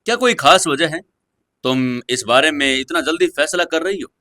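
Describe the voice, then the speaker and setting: A male narrator plays a conciliatory CEO husband in an audio drama. He is restrained and earnest, with a bright, lifted voice recorded very dry. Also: fairly high pitch, dry recording, voice pushed up. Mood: guarded, questioning. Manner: gentle, measured, earnest. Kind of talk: Hindi audio story dialogue.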